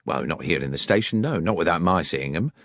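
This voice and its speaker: A man's voice: sits low, animated, a little fast, a bit bright, no room sound, clean studio sound, full character voice. A man voicing a neat, orderly country station master who answers politely and plainly. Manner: polite, deferential, plain-spoken.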